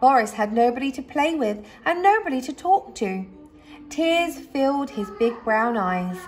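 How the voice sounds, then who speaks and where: A woman reading a children's story aloud expressively, like a teacher, with dramatic pauses and emphasis. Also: sits high, animated, soft background music.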